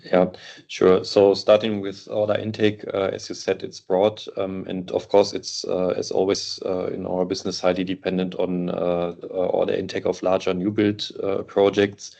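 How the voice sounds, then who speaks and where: A man's voice: low voice, monotone, normal speed, gravelly, dark tone. A man answering finance questions on an earnings call, hesitant and full of uhs. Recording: dull lo-fi call audio.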